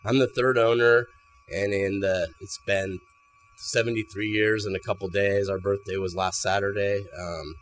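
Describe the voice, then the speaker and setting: Guy in his 30s talking about his barbershop, lowish voice, handheld mic, faint hum behind. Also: moderate pace, poor audio. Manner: relaxed, repeats words while thinking. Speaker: barbershop owner. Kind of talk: casual interview. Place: small barbershop indoors. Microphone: handheld mic held by interviewer.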